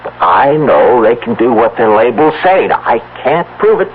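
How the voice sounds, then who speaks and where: An actor playing an excitable, stubborn farmer with plain, urgent speech in a 1970s radio drama. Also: male voice, mid-range voice, moderate pace, lightly acted. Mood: excited, urgent. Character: excitable, stubborn farmer husband, convinced of miracle cures. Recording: tinny, band-limited old radio broadcast, noisy.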